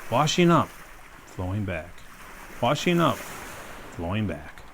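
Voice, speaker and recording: A park ranger reading a sensory story aloud to young children, gently asking them questions as he goes. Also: lowish voice, very animated, slow pace, creaky, a little hiss or hum.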